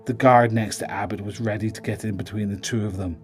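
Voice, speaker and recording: A man's voice: fairly low pitch, fairly even intonation, a little fast. A man giving dramatic, scripted narration as the storyteller of a horror audio drama. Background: dramatic music.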